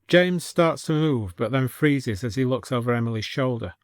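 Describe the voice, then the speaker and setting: A man's voice: mid-range voice, expressive, normal speed, dry recording, clean studio sound. A man narrating a scripted story as a storyteller.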